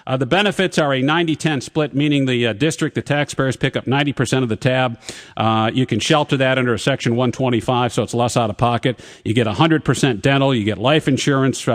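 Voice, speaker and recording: Male talk radio host, studio mic, pushy and argumentative, runs through lists. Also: mid-range voice. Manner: pushy, interrupts guests; reads contract terms off in a rapid list. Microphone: studio broadcast mic.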